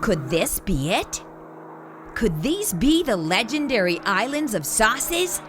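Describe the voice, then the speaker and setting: A woman reading a children's picture book aloud as a storyteller, in an animated way with theatrical emphasis. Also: fairly high pitch, slow pace, background noise.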